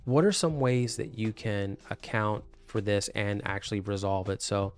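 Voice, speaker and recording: A man's voice: fairly low pitch, moderate pace. A man presenting a casual explainer video as an installer, talking in a conversational, explanatory way and repeating points for emphasis. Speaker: presenter, intercom and door hardware installer.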